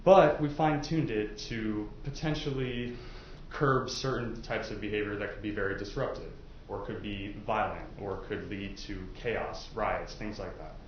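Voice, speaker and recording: A low-voiced man works through courtroom questioning in a loose, conversational style, hedging and restarting as he explains. The room is echoey, the sound is slightly dull, and there is faint noise behind him. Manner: halting, conversational, hedging with "I guess" and "you know". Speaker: lawyer questioning prospective jurors. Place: indoor courtroom.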